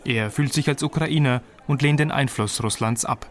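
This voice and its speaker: A man narrating a scripted radio reportage in an even, descriptive way. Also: mid-range voice, normal speed.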